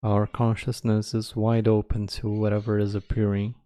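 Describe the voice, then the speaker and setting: A man leading a guided meditation as its teacher, speaking calmly in spare, measured phrases with long pauses. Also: fairly low pitch, no room sound, clean studio sound. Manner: calm, measured.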